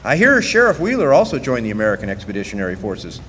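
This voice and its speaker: A man's voice: mid-range voice, wildly swooping pitch, fast, fairly bright tone. Man acting a wry drifter narrator, hall reverb. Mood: wry, reflective. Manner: storytelling, dryly wry. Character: wry male rail-riding drifter looking back, 1910s. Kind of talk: radio play narration. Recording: live hall reverb, faint background noise.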